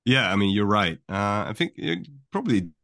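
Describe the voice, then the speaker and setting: A young man who was born in Japan and raised in Sweden speaks as a podcast guest. His voice sits very low, and the recording is dry and close with no room sound. He talks casually and reflectively, leaning on "like" and "you know". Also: sing-song, moderate pace, fairly bright tone, no room sound. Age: young adult. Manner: thoughtful, filler-heavy, lots of "like" and "you know". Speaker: guest, finance writer. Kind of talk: casual conversational interview.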